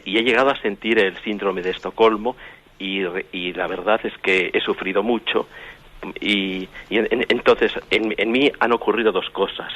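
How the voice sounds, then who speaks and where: A male caller from Madrid on a radio phone-in, stumbling and restarting as he talks. His voice sits on the low side. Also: fairly bright tone. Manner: halting, many restarts.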